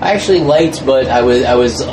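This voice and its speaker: A man's voice: medium pitch, brisk. A man chatting casually as an interview guest, hesitant, often repeating words and trailing off mid-phrase. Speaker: podcast guest, fan film crew member. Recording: noisy room with steady background hum.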